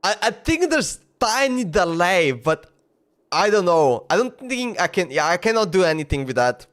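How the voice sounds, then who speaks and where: A man chatting casually on a live game stream, speaking haltingly and repeating himself. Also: high-pitched, big pitch swings, fairly bright tone.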